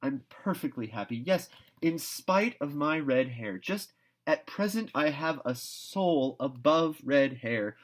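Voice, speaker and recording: A man's voice: fairly high pitch, lively intonation, slow, voice pushed up. A man voicing an exuberant, dreamy young girl who chatters effusively and grandly, in a children's novel read aloud. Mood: blissful, earnest.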